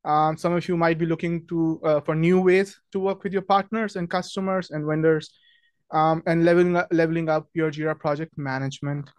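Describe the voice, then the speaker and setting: A man's voice: fairly high pitch, fairly even intonation, a little fast, clear, resonant tone, dry recording. Chatty man hosting a webinar. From Pakistan. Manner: friendly, chatty, many ums and restarts. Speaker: webinar host, product marketing manager. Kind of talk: casual webinar presentation.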